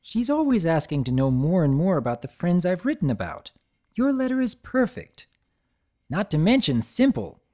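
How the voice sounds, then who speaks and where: Man doing a bookish young pony mare, precise, friendly, raised pitch, lively. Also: normal speed.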